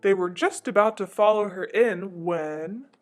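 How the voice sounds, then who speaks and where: A woman's voice: medium pitch, measured pace, voice pushed down, lightly acted. A patient, worn-out papa rooster from a picture book, acted by a woman, reading at bedtime in a medium register. Music plays underneath the storytelling. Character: tired, patient father chicken reading at bedtime. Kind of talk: children's picture book read-aloud.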